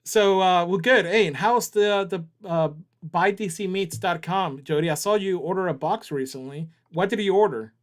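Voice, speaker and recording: A man's voice: high voice, normal speed. A man hosting a casual livestream, joking around and reading viewer chat aloud. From Southern US. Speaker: livestream host.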